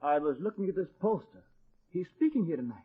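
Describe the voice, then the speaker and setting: An earnest young busboy poet from an old radio play. His fairly high voice swings widely in pitch, unassuming yet expressive, on a muffled vintage recording with some music. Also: fast talker, breathy edge. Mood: shy, wistful. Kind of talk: old-time radio drama acting.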